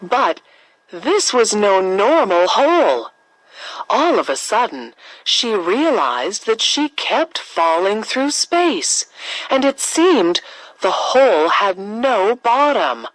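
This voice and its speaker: A woman narrating a scripted children's fairy tale as a storyteller, with expressive, dramatic emphasis. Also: mid-range voice, takes it slowly, no echo, poor audio.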